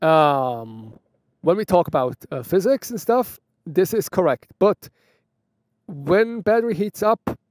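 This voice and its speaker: A man's voice: high-pitched, expressive, slow, darkish tone, dry recording. A man from Switzerland with a Swiss German accent, answering viewer questions in a casual vlog outdoors, chatty and often restarting his sentences. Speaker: motovlogger. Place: outdoors by a roadside bench.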